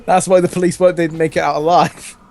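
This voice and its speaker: A man's voice: on the high side, level tone, quick pace. A man doing a casual comic read-aloud as a host, jokey and often breaking off from the reading to riff. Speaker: host reading fan fiction aloud while gaming.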